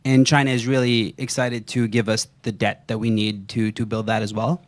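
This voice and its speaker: A man's voice: lowish voice, flat delivery, moderate pace, no echo. A man from Nepal asking a question from the audience at a forum, phrasing it tentatively with repeated words.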